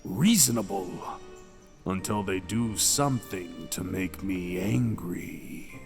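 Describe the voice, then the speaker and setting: A man performs an ancient dragon king in chains, speaking very slowly with languid, dramatic pauses. He is seductive and possessive with an edge of madness and menace, as in a fantasy audio roleplay. Long cavern reverb surrounds him and music plays behind. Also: low-pitched, vocal fry, voice pushed down, heavily acted. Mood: coaxing, brooding. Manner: languid, teasing, drawn-out phrasing with dramatic pauses. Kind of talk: intimate ASMR roleplay acting.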